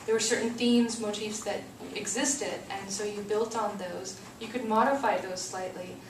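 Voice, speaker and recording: A woman's voice: mid-range voice, fairly even intonation, normal speed. Woman explaining, echoey distant room. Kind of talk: lecture-like explanation. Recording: echoey room from far off, noise behind.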